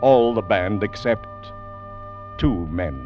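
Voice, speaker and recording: An actor narrating an old radio war drama in a grave, dramatic storytelling manner. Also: male voice, lowish voice, slow pace. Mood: grave, urgent. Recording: dull vintage radio recording, music behind.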